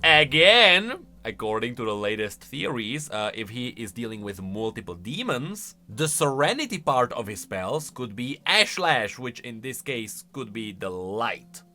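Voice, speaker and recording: A man's voice: mid-range voice, big pitch swings, a little slow, few pauses, sharp tone. A man hosting a game lore channel with casual reaction commentary, musing aloud and leaning hard on key words.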